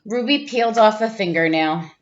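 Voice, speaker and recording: A woman reads a children's story aloud in a medium-pitched voice, with teacherly, expressive emphasis. The recording is a little dull and lo-fi. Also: normal speed. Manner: animated character voices, clear storytelling emphasis. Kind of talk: expressive children's picture book read-aloud.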